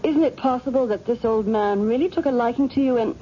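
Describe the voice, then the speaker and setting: Radio play wife: female actor, highish mellow voice, animated, practical and questioning, muffled vintage recording. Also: brisk, close to an everyday voice. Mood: fond, exasperated. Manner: direct, reasoning. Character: practical, questioning young wife, fond but exasperated. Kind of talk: 1970s radio drama acting. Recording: dull, faintly noisy old radio recording.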